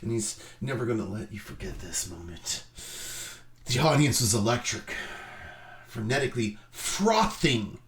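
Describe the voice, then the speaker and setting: A man giving a dramatic reading on a stream, theatrical in delivery, swinging between emphatic outbursts and hushed, drawn-out phrases. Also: medium pitch, expressive, distant mic. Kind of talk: dramatic reading of a written review.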